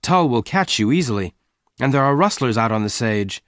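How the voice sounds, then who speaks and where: A man's voice: mid-range voice, lively intonation, moderate pace, dry recording, lightly acted. A man reading the part of a decisive, protective young cowboy in a storybook, firm and urgent. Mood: earnest. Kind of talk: dramatised audiobook dialogue.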